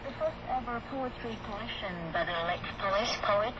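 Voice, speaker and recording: A man's voice: very high voice, moderate pace. A man reading scripted international news as an announcer, heard through a shortwave radio. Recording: shortwave radio broadcast drowned in loud static.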